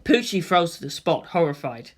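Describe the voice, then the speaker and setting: An audiobook narrator with a mid-pitched voice that moves up and down in a lively way. The delivery is expressive and theatrical, telling a story in the first person. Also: moderate pace.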